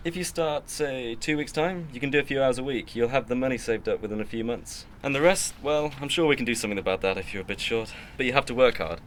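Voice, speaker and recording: A man acting a well-off, boastful, stern middle-aged uncle in a radio drama, with a pompous, measured way of talking. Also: medium pitch, fairly bright tone, slight background noise, natural voice.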